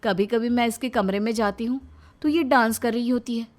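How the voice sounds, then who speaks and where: A woman's voice: on the high side, steady tone, normal speed, natural voice. A woman voicing a dutiful, shrewd elder sister-in-law in a family story, earnest and emphatic. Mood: confiding, suspicious.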